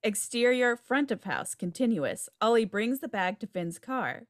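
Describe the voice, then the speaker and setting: A woman's voice: fairly high pitch, expressive, unhurried. A woman reading scripted stage directions at a table read over a video call. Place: indoor room, video call. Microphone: video call microphone.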